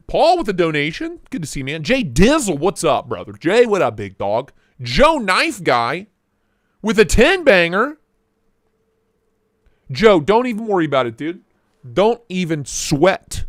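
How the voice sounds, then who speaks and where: A man hosting a live stream, chatting casually and greeting viewers by name in a fairly high voice that swings all over. He jokes through it with a voice that is starting to go, recorded close and dry. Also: very animated, measured pace, dry recording. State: losing his voice.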